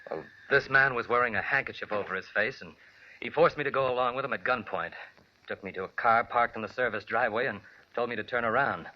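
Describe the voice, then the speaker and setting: A man's voice: mid-range voice, fast, close to an everyday voice. Guy playing a mild artist husband, earnest and polite, medium voice, muffled old radio drama. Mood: shaken. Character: mild artist husband, adult man, earnest kidnap victim. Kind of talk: 1940s radio drama acting. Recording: muffled vintage radio broadcast.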